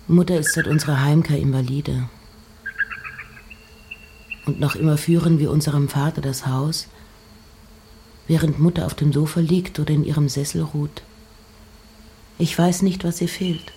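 A woman giving an intimate, measured dramatic reading of poems and letters, at times dropping close to a whisper. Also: deep voice, fairly even intonation, dark tone, slight background noise. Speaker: reciter.

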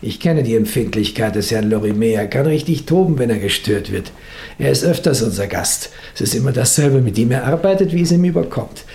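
An actor playing a discreet, dutiful hotel employee who gives testimony in a formal, deferential way, in 1960s radio play style. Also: male voice, mid-range voice, animated, brisk, warm tone, reverberant, close to an everyday voice.

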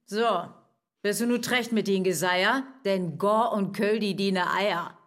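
An actress with a northern German accent playing a sceptical but soft-hearted young woman in a verse radio play, earthy and matter-of-fact. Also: medium pitch, takes it slowly, natural voice. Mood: sceptical, dismissive.

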